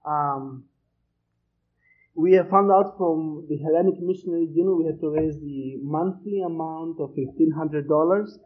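A man's voice: on the high side, normal speed, husky. A man with a Greek accent, a missionary giving a hesitant church appeal with many fillers and restarts. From Greece. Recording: muffled lo-fi recording.

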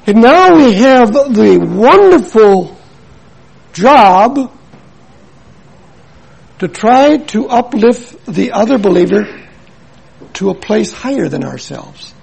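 A man's voice: high voice, animated, slow, creaky, pauses often, a little mellow, breathy edge, low-quality recording. An older man from Milwaukee preaching a sermon in an earnest, emphatic way, repeating his points and telling stories. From Milwaukee, USA.

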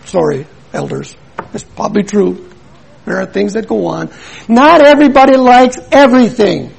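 A man's voice: sits high, moderate pace, creaky, slightly rough, poor audio. An older man from Milwaukee preaching a sermon in an earnest, emphatic way, repeating his points and telling stories. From Milwaukee, USA.

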